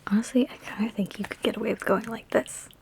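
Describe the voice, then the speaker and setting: A woman in an ASMR roleplay speaking in a soft, breathy whisper mixed with hushed talk, with a sassy, teasing, chatty big-sister manner, close to the microphone. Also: a little high, steady tone, quick pace. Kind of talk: ASMR personal attention roleplay.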